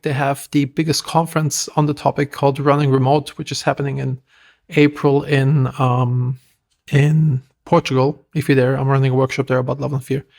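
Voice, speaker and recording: A man with a German accent, a podcast guest telling stories in a lively, self-deprecating way. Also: fairly high pitch, creaky. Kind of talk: casual interview talk.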